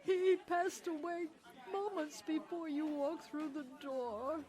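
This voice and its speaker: An actress playing a gentle, grieving aunt in a radio drama, tearful and tender as she breaks bad news. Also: high-pitched, steady tone. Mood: tearful grief. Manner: slow, tender, sorrowful.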